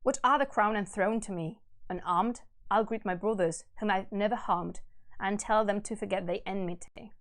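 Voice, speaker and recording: A wise young prince of an old epic, voiced by a woman reader. He is gentle and peaceable, resigned to fate, and speaks in solemn, measured verse. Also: mid-range voice, close to an everyday voice. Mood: gentle, resolute.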